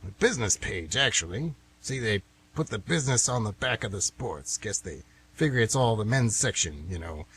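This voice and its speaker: Guy acting a grumbly old-fashioned retired man in a radio play, kinda husky, folksy, voice goes up and down a lot. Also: fairly low pitch, expressive, moderate pace, a bit bright. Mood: mildly defensive. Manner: rambling, folksy, plain-spoken.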